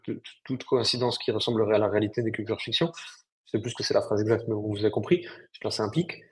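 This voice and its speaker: Male podcast co-host, quick and blunt, casual business talk. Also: medium pitch. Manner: brisk, blunt, slangy explanations. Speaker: podcast co-host, agency founder.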